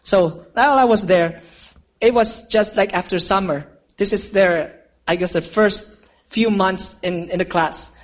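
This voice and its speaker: Earnest preacher telling a story. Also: male voice, high voice, unhurried, low-quality recording. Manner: earnest, addresses listeners as friends, asks for amens. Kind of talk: storytelling sermon.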